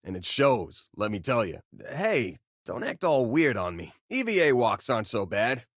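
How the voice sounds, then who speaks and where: An actor playing an easygoing young space miner in a video game, with a casual, joking way of talking. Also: male voice, mid-range voice, sing-song, normal speed, dry recording, clean studio sound. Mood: casual, wry. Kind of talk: video game companion voice lines.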